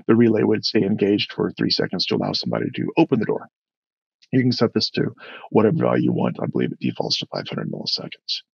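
A man instructing in a casual screen-share tutorial, explaining step by step in a conversational way with a gravelly, husky voice. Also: fairly low pitch, a little mellow, no room sound. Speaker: software instructor. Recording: dull, slightly lo-fi voice-over.

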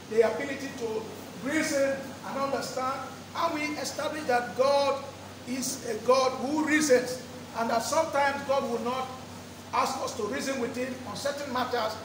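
A middle-aged man preaching a sermon from a lectern, drawing out key words slowly and repeating phrases as he builds to emphatic peaks. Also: extremely high pitch. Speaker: pastor. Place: church hall with draped walls. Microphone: lectern microphone. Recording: echoey church hall, distant mic, background noise.

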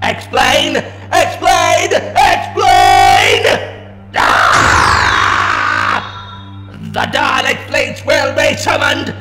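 Ranting robotic alien tyrant, slow staccato commands, piercing electronic edge, long echoing reverb, sci-fi audio drama. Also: male voice, extremely high pitch, expressive, raised voice, over music, crowd noise, heavily acted. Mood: frantic rage. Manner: barking commands in clipped, repeated phrases. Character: ranting, imperious Dalek, an alien war machine. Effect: robotic ring-modulated filter.

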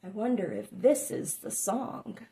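A woman reading a children's picture book aloud with expressive, drawn-out phrasing and little asides to listeners. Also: medium pitch, a little hiss or hum. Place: small room at home, indoors.

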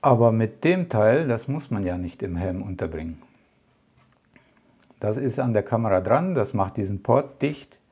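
A man with a lowish, mellow voice talks loosely to his viewers about camera gear, wandering off topic and filling gaps with hesitations. The sound is a little dull and muffled. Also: animated, moderate pace, breathy edge. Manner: rambling, many fillers and asides. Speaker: tech vlogger. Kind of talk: casual product talk to camera.

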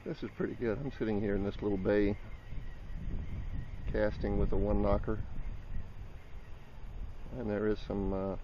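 A man in a small boat on a lake, giving casual running commentary on his fishing with some mild swearing, recorded outdoors on a camera boom. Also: flat delivery, normal speed, pauses often. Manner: chatty, talks to himself, mild swearing. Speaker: fishing vlogger. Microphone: action camera on a boom. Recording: dull lo-fi camera audio with loud wind and water. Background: wind and lapping water.

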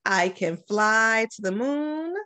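A middle-aged American woman from Detroit, a school principal, doing an expressive storytime read-aloud with deliberate phrasing. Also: fairly high pitch, clean tone. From Detroit, United States. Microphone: webcam at about arm's length.

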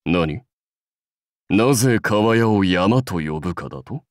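An actor playing a proud, seasoned samurai warlord in a game, speaking in stately, measured, archaic phrases with wry authority. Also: male voice, deep voice, animated, no room sound, clean studio sound. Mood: wry, playful. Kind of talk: scripted game character lines.

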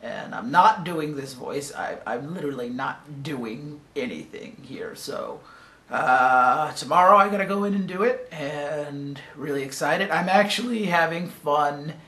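A young man who has lost his voice, talking hoarsely and raspily in a casual video diary from a hotel room. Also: a little high, lively intonation, measured pace, pushed and bright, roomy sound. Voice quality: raspy. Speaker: vlogger. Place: dim hotel room, indoors.

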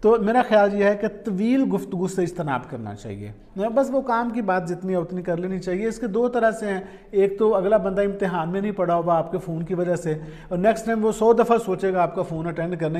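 A man's voice: sits high, a little fast, a little mellow. A man in his forties with a Pakistani accent gives informal advice to camera in a conversational, explanatory way, mixing in English words. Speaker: vlogger, journalist and columnist. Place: small quiet room indoors, at a desk.

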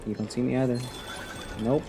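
Deadpan young male streamer, soft-edged voice. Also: mid-range voice, a little fast. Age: young adult. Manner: sarcastic, deadpan, indifferent. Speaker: video game streamer. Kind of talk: casual playthrough commentary. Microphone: desk microphone close to the face. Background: game music.

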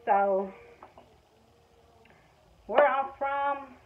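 A woman's voice: sits high, drawn-out words. A woman in her twenties with an American accent, chatting casually and frankly off the cuff in colloquial speech. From Missouri, United States. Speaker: vlogger, stay-at-home mother. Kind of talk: casual off-the-cuff chat to camera. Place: small room at home, indoors. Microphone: phone at arm's length or propped nearby. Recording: dull lo-fi phone audio, faint background noise. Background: household background noise.

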